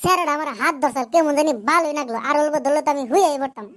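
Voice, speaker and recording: Cartoon schoolgirl played by a man: high-pitched, rapid, love-struck, cheeky, rural Bangladeshi accent. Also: a bit bright. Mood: pleading, cheeky. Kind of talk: comic cartoon dialogue.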